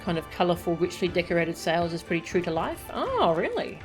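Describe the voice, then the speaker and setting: Relaxed woman streamer musing. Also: lowish voice, fairly quick, over music. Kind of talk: casual let's-play commentary.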